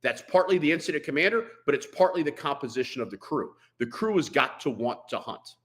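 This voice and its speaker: Bright-voiced, blunt man talking shop. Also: on the high side, lively intonation, a little fast. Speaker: veteran firefighter, podcast guest. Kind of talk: conversational interview talk on firefighting.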